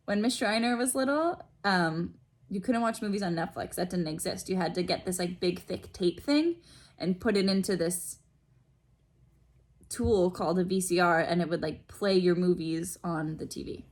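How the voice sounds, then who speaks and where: A woman's voice: lowish voice, normal speed. A woman reading a children's story aloud expressively, like a school principal, with explanatory asides.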